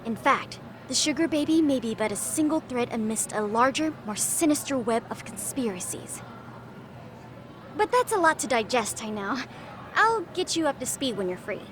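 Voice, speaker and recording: A woman voicing a confident, articulate, slyly scheming high school club president in a video game, formal and teasing. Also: sing-song, normal speed, noisy background. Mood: polite, intriguing. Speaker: student club president character. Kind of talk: English game voice acting. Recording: loud music behind the voice. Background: background music.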